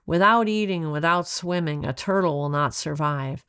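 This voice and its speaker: Woman playing female turtle rescuer, storybook, patient, knowledgeable, gentle, lowish creaky voice. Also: moderate pace, no room sound, clean studio sound. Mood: gentle, instructive.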